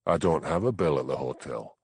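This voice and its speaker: A man voicing a stubborn, grumbling village constable with a Scottish Highland accent in an audiobook. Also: fairly quick, vocal fry, a little mellow, husky, dry recording, heavily acted. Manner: dry, complaining, questioning.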